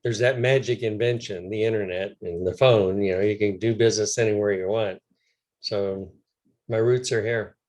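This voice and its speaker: Slightly hoarse low-voiced Midwestern man. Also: steady tone, normal speed, a little mellow. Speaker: guest, talent agency founder. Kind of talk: casual interview chat.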